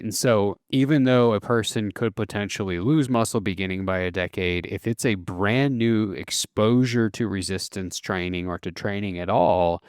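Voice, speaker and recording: A man hosting a solo podcast, explaining at a relaxed pace with casual fillers and self-corrections. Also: lowish voice, clean tone, dry recording, studio quality. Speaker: podcast host.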